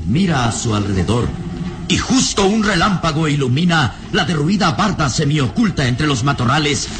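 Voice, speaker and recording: A man's voice: a little high, very animated, normal speed, over music. A man narrating a radio serial drama in a solemn, suspenseful scripted style.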